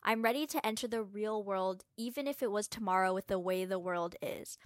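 A woman's voice: mid-range voice, brisk, dry recording, studio quality. A woman reading a prepared text aloud as a guest presenter.

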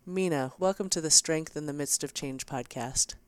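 A woman's voice: sits low, moderate pace, clean tone, dry recording. A woman hosting a podcast, reading a formal introduction of her guest and welcoming her. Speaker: host.

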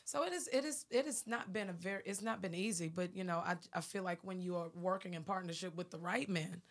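Raspy low-voiced woman, earnest. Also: a little fast. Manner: earnest, emphatic, checks listener understands. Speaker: gospel singer. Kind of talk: panel interview conversation.

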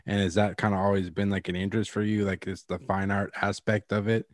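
A man's voice: lowish voice, flat delivery, fairly quick, dry recording, clean studio sound. A man hosting a podcast, asking casual, filler-heavy questions in a laid-back way. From Boston. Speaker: podcast host. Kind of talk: casual interview chat.

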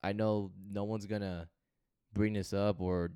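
A young man talks easily on a podcast, slangy and relaxed, dropping "you know" all through. His voice sits low and comes through clear and resonant. The sound is close and dry. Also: unhurried, no echo. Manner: laid-back, slangy, lots of "you know". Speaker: podcast co-host. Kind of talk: casual chat.

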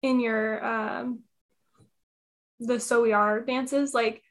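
Higher-voiced woman, relaxed and candid. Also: slow. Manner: relaxed, candid, rambling with fillers. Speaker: yoga teacher guest. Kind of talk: casual interview chat. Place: her apartment.

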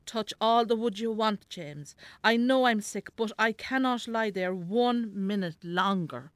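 An actress playing a weary, sharp-tongued elderly Irish mother in a naturalistic radio drama, grumbling bluntly. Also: mid-range voice, unhurried, no room sound, close to an everyday voice. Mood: weary, complaining. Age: older.

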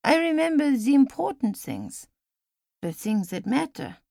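A woman voicing a frail elderly woman who speaks formally and with gentle insistence, in an audiobook story. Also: sits high, huge pitch swings, vocal fry, no room sound, studio quality, voice pushed up, heavily acted. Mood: reminiscing. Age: older. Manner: measured, formal, gently insistent speech with few contractions.

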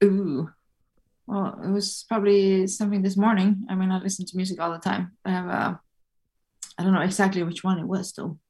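A woman's voice: medium pitch, little pitch movement, fairly quick, a little mellow. A woman guest on a podcast, talking in an easygoing, thoughtful way with many fillers. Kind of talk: casual interview chat.

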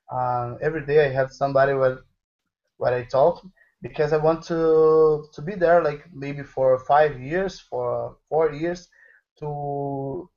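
High-voiced Portuguese-speaking man, muffled audio. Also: darkish tone. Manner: halting, repeats phrases. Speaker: podcast guest, dance teacher. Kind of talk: casual interview in broken English.

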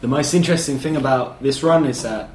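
A man co-hosting a casual football podcast, talking in an animated, emphatic way and swearing casually. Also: medium pitch, a little fast, echoey room, a little hiss or hum. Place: living room.

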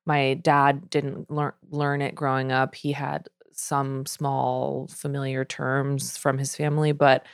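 Casting: chatty American-raised woman guest, very low smooth voice, dry close sound. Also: level tone, no room sound. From United States. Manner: chatty, quick asides with frequent "like". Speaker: podcast guest, poet. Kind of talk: casual conversational chat.

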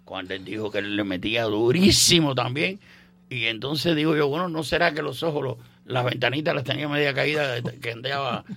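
An older Cuban man with a Cuban accent hosts a radio show. His voice is gravelly with a bright, edgy tone. He rambles through history in a casual, joking way, full of fillers and restarts. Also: medium pitch, a little fast. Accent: Cuban Spanish. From Cuba. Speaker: radio host. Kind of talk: casual on-air history chat.

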